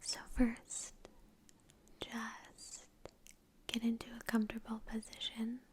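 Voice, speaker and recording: A woman whispering gently and unhurriedly in a breathy voice, close to the microphone, guiding an ASMR meditation with long pauses. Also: fairly high pitch, completely flat delivery. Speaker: ASMR creator and meditation guide. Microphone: close microphone in front of the face.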